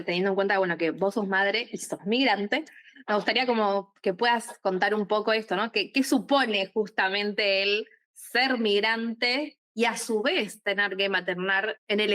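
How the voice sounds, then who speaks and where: A woman hosts a podcast conversation. She asks her questions in an engaged, curious way and affirms her guest's answers. Her pitch sits in an ordinary middle range. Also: fairly bright tone. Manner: engaged, affirming, laughs easily. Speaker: podcast host. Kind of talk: interview questions.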